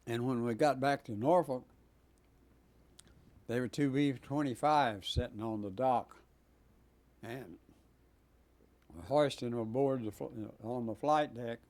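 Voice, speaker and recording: Elderly man in his nineties, mellow and dark-toned, unhurried and halting, pausing often with fillers, sometimes coughing. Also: mid-range voice. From Texas. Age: 90s. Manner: halting, many pauses and fillers. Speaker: Navy veteran guest. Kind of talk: oral history interview.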